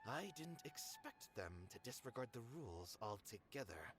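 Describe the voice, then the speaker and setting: An actor voicing a stern, meticulous theater manager in a game, speaking formally and in a measured way. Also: male voice, lowish voice, big pitch swings, noisy background. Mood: firm. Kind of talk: video game voice acting.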